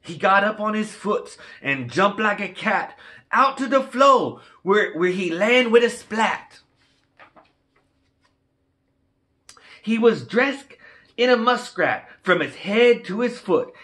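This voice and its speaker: An excitable, folksy Cajun man tells a rhyming storybook tale. His voice is pitched very high and swoops boldly, with a bright, exuberant, declamatory edge. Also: slow, heavily acted. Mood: animated, exclaiming. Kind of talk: rhymed storybook dialect read-aloud.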